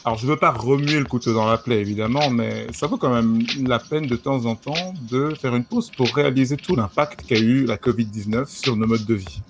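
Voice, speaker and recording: A man with a mid-range voice opens a podcast, speaking straight to his listeners with lively emphasis. Clearly audible clicking and noise run behind him. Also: mid-range voice, normal speed, few pauses. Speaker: podcast host. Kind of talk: podcast introduction.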